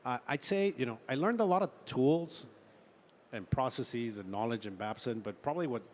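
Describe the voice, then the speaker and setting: A man from Ecuador talking as a startup founder being interviewed, telling stories in an informal, halting way with frequent check-in words. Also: mid-range voice, animated. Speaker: startup founder and chief executive, interview guest. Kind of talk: conversational storytelling in an on-stage interview. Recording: noticeable background noise, room ambience.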